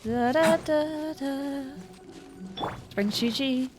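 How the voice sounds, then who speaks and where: Young woman, game streamer, casual commentary, higher voice, playful sing-song bursts, faint music behind. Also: drawn-out words, darkish tone. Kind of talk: casual live commentary while gaming.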